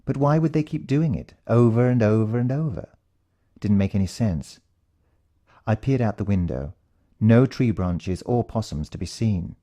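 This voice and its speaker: A British man reads a first-person story with suspense and drama. His voice is on the low side and notably dark and soft-edged. The intonation moves widely and expressively. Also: expressive, normal speed. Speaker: audiobook narrator.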